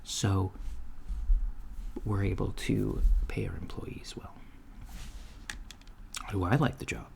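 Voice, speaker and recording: A soft-spoken man playing a polite job interviewer in an improvised ASMR roleplay, talking in a halting, hesitant way. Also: low voice, creaky. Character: polite, businesslike alien-store manager interviewing a job applicant. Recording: lo-fi with steady background noise. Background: computer keyboard typing.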